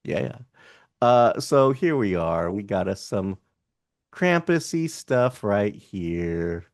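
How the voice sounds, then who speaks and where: A man's voice: lowish voice, big pitch swings, slow, no room sound, studio quality. A man co-hosting a casual podcast, chatting, joking and reading prices aloud as he browses online shops. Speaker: podcast co-host.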